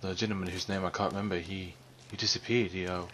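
Male game narrator, low-pitched, hesitant storytelling, light noise on the recording. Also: fairly even intonation, a bit bright. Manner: halting, hesitant. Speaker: narrator, gamer. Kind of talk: unscripted storytelling commentary over game footage.

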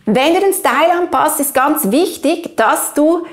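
A woman in her forties with a Swiss German accent, explaining an app step by step in a clear, instructional manner. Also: high-pitched, animated, a little slow. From Switzerland. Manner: clear, instructional, addresses the viewer directly. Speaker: presenter of app tutorials. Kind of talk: step-by-step screen tutorial.

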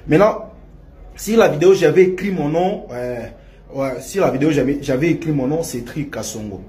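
A young man with a Congolese French accent speaks into a close phone mic. His voice sits a little high for a man, and he circles back on himself with fillers and repeated phrases. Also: lively intonation, darkish tone. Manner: halting and repetitive, many restarts and fillers. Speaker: man clearing his name. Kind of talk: video statement.

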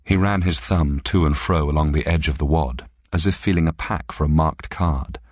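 A man narrating literary fiction as an audiobook reader, in a measured, deliberate way. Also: rumbling low, a little mellow, no room sound, clean studio sound.

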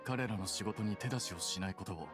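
Low, deadpan, aloof young scholar played by a man. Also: lightly acted. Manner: deadpan, measured, condescending. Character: cool, aloof young male scholar-official, bluntly logical. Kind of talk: Japanese anime game voice acting. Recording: music behind the voice.

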